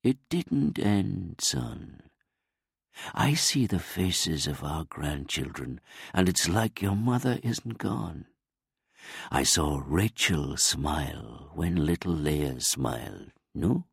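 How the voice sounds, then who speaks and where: A man voicing an elderly grandfather, an archaeologist who is grieving, speaking in a slow, soft, fatherly way. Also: low-pitched, expressive, creaky, husky, no echo, studio quality, full character voice. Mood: gentle, reassuring. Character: older male archaeologist grandfather, gentle, grieving, reassuring.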